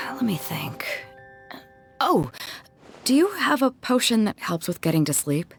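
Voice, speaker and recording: A woman's voice: on the high side, very animated, takes it slowly, creaky, heavily acted. An actress playing a bold, easygoing female sea captain in a video game, breezy, informal and confident. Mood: casual. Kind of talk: video game character voice acting. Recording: music behind the voice. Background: game music.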